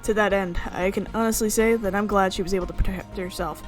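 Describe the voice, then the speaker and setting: A woman's voice: mid-range voice, fairly even intonation, fast talker, faint music behind, lightly acted. A woman reading the part of a creepy, persuasive male schemer in a visual novel, speaking formally and pleading earnestly. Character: creepy, persuasive American man, self-justifying schemer.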